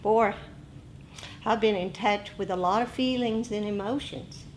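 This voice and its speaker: Woman, meditation teacher, very slow pace, halting deliberate phrasing, dharma talk, light background noise. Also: mid-range voice.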